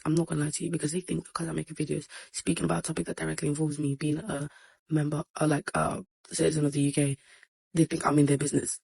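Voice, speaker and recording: A woman delivers a scolding rant straight to camera, blunt and exasperated. She talks quickly, and her voice is husky with a gravelly edge. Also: deep voice, darkish tone, no echo, low-quality recording. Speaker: social media commentator. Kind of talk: ranting direct-to-camera video.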